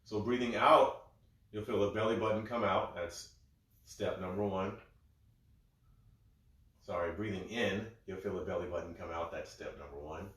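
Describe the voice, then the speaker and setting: A man guiding a breathwork session in a calm, gentle, step-by-step way, a little congested. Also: fairly low pitch. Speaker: breathwork instructor. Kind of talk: guided breathing instruction. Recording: very echoey room.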